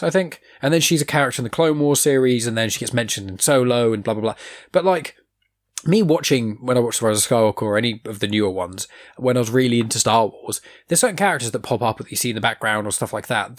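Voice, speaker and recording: Young male podcast host, fast, rambling casual delivery, average pitch. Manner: rambling, animated, swears casually. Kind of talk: casual nerd chat.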